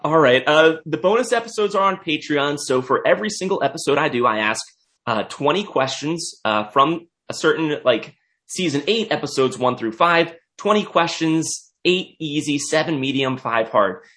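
A hoarse young man hosting a podcast, speaking casually and hesitantly. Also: a little high, expressive, normal speed, a bit bright. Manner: hesitant, lots of uh fillers. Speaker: podcast host.

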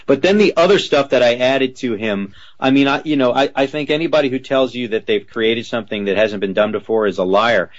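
A man who works as a voice actor, answering interview questions in a lively way and slipping into character voices as he tells stories. His voice is slightly deep. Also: fairly quick, few pauses, muffled recording. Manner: animated, drops into character voices while telling stories.

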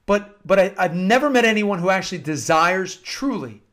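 High-voiced American man preaching earnestly. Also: lively intonation, normal speed, fairly bright tone. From United States. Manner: earnest, emphatic, repeats phrases for emphasis. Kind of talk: informal devotional talk with scripture-like readings.

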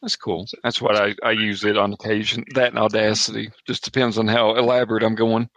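A man's voice: fairly low pitch, little pitch movement, a little fast, fairly bright tone, no echo, dull audio. A man hosting a podcast interview in an informal, joking way. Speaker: podcast host. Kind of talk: casual interview chat.

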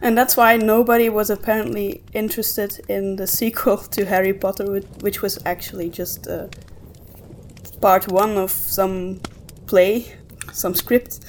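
A woman with a medium-pitched voice talks casually as a podcast co-host. She feels her way through opinions in halting phrases, thinking aloud. There is some noise behind her voice. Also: level tone. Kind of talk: casual book discussion.

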